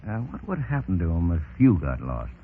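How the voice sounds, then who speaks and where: An actor playing a shrewd, forceful undercover lawman in a 1930s radio drama. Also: low-pitched, huge pitch swings, brisk, gravelly, slightly rough, full character voice. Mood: sly, probing. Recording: muffled 1930s radio recording, loud music.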